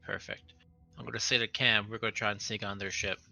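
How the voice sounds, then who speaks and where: A man's voice: fairly low pitch, level tone, brisk, creaky, pushed and bright, faint music behind. A man playing a tabletop roleplaying game, talking casually as he plans his character's moves.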